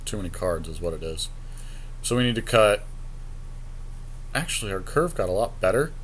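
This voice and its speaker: A man's voice: sits low, big pitch swings, moderate pace, fairly bright tone, slight background noise. A man casually commentating as a podcast co-host while playing a card game, with dry self-mocking humor and casual swearing. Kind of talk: casual gaming commentary, chatting while drafting cards.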